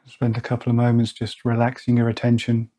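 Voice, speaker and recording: A man leading a guided meditation, speaking slowly and softly with long pauses. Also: mid-range voice, monotone. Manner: slow, soft-spoken, with long pauses. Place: outdoors or by an open window with birds nearby.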